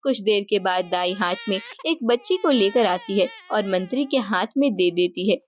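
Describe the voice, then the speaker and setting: A woman's voice: mid-range voice, expressive, normal speed, slight background noise. A woman narrating a scripted moral story as the storyteller of a cartoon tale.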